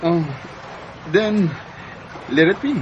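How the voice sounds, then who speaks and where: Slow-talking Cebuano suitor, noisy background. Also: male voice, sits high, animated, lo-fi sound, heavily acted. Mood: flirtatious, coaxing. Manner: flirtatious, unhurried. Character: smooth, persistent single male co-worker courting a woman. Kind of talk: Bisaya radio drama acting.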